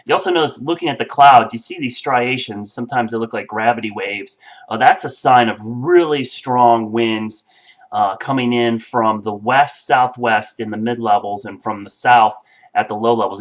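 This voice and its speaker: Middle-aged male chief meteorologist, nasal and congested from a cold, casual explanatory delivery. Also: medium pitch. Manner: explanatory, relaxed, with frequent fillers. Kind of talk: weather briefing.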